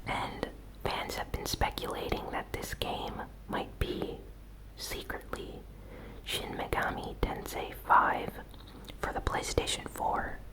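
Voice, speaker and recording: A woman hosting an ASMR gaming news recap in a breathy whisper, with soft, unhurried, informal commentary. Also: rough recording.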